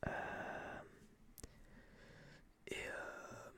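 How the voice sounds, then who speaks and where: A young man whispering a casual, opinionated ASMR review in a breathy voice, rambling and slangy, close to a binaural microphone. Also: very slow, bright, forceful tone. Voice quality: breathy, mostly unvoiced whisper. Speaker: host of an ASMR film review video.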